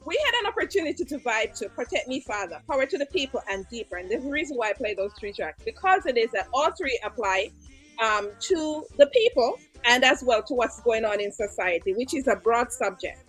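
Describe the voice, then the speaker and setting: A woman's voice: a little high, expressive, brisk, a bit bright, breathy edge, faint music behind. A Jamaican woman hosting a casual live-stream interview, laughing often as she talks. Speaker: host.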